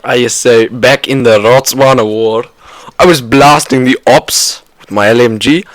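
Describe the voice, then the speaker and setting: A man's voice: mid-range voice, unhurried, no room sound, natural voice. A man voicing a boastful fake South African war veteran, talking in an offhand way. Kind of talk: comedy podcast bit.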